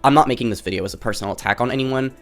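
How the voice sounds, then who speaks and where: A man reading a scripted critique video in a measured, careful way, sounding nasal while recovering from an illness. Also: medium pitch, a bit bright, soft background music. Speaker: video essayist. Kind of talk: scripted commentary.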